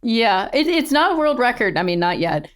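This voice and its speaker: A woman's voice: on the high side, big pitch swings, normal speed. A middle-aged woman, a podcast guest and angler, telling her stories with enthusiasm and frequent laughs. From born in Australia, raised in the eastern United States. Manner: enthusiastic storyteller, laughs often. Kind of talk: casual interview chat.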